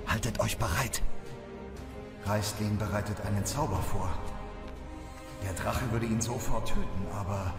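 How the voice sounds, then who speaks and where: A man's voice: on the low side, unhurried, pauses often. A man playing an earnest, anxious leader of adventurers in a fantasy radio drama, speaking with urgency. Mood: urgent. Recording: cavernous echo with music.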